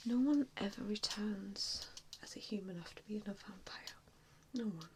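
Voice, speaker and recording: A woman doing an ASMR roleplay in a breathy whisper, speaking in a gentle, hesitant, reassuring way. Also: medium pitch, moderate pace, slight background noise. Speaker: ASMR roleplayer playing an assessor. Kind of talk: ASMR roleplay, softly whispered personal attention.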